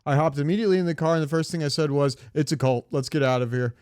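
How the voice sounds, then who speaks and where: A man's voice: a little high, rapid-fire, darkish tone, clean tone, dry recording, studio quality. A man co-hosting a comedy podcast, chatting casually with some swearing and reading bits aloud in a joking way. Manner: profane, joking. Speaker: podcast co-host.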